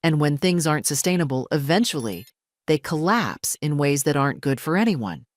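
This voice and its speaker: Woman as condescending, manipulative daughter-in-law, careful and deliberate. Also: deep voice, expressive, no echo. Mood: cool, pressing. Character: manipulative daughter-in-law, smooth veneer over cold menace.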